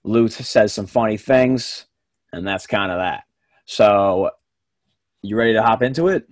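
A young man hosting a casual sports podcast, relaxed and quick to laugh, with plenty of fillers. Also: lowish voice, dry recording. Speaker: podcast host.

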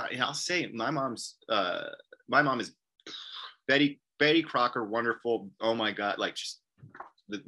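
A man's voice: on the low side, bright, forceful tone. A man talking as an interview guest in loose casual conversation, rambling and often repeating "you know what I mean.". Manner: quotes people in acted voices.

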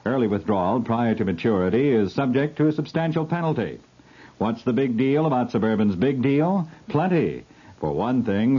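A man's voice: medium pitch, breathy edge. A man announcing 1970s radio commercials with a punchy, emphatic sales delivery. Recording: dull 1970s radio broadcast.

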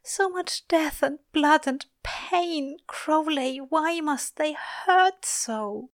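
An innocent, anguished male angel from a storybook, performed by a woman. The voice sits high and plaintive, drawing words out slowly in pleading tones. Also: very animated, dry recording, studio quality, exaggerated voice. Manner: moaning, drawn-out pleading.

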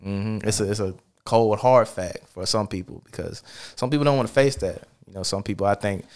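A man's voice: on the low side, brisk. A man hosting a podcast in a loose, casual way, full of fillers and affirmations. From Charlotte, North Carolina. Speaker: podcast host. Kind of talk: casual conversation.

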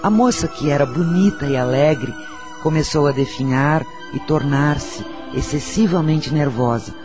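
An actor playing a solemn, wise spiritual counsellor in a radio soap, preaching and storytelling in a measured way with a Brazilian accent. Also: male voice, fairly high pitch, music in the background, natural voice. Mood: grave storytelling.

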